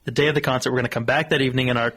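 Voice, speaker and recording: A man's voice: medium pitch, steady tone, racing, a bit bright. A man in his thirties with an American accent, casually telling comic anecdotes in a joking, mock-serious manner as a radio guest. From Sedalia, Missouri, United States. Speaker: guest telling stories of growing up.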